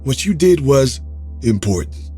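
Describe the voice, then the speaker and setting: A folksy, laid-back dad in an audio drama. His voice is mid-pitched with big swings in tone, always trying to smooth things over. Music plays softly beneath. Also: big pitch swings, a little slow. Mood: placating. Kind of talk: naturalistic audio drama acting.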